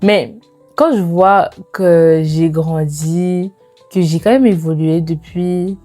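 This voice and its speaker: A woman in her twenties chatting casually to viewers as a lifestyle vlogger, in an animated, informal way with slangy phrasing and bits of English mixed in. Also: lowish voice, slow, dry recording. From immigrated to Canada. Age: 20s. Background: background music.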